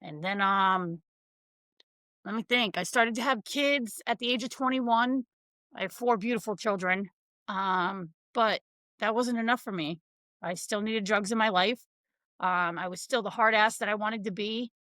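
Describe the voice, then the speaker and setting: A woman's voice: medium pitch, measured pace, sharp tone, no room sound. A woman in her thirties from Connecticut, a podcast guest telling her life story in a blunt, frank, casual way.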